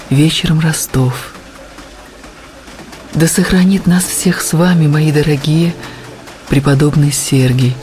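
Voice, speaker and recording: A tender, prayerful, grieving abbess. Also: female voice, deep voice. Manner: tender, prayerful, grieving, at times breathy and hushed. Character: devout grand duchess and abbess, tender, sorrowing, writing to her sisters. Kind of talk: dramatic letter reading in an audio play.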